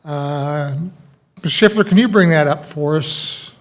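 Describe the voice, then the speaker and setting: A man with a somewhat high voice chairs a city commission meeting. His voice is going hoarse, and he speaks informally and chattily into a table microphone in a council chamber. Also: takes it slowly. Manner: informal, chatty, self-deprecating. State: losing his voice.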